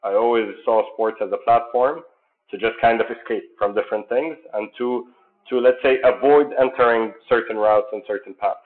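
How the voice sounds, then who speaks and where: A man from Jordan, founder of a sports program, answering interview questions in an earnest, measured way with many fillers. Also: medium pitch. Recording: tinny phone-like line.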